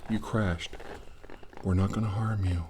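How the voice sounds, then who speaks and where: A man playing a calm 1940s army doctor in an improvised ASMR role-play, muttering and often whispering reassurance. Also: sits low, unhurried. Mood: reassuring, concerned. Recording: noisy recording with clicks.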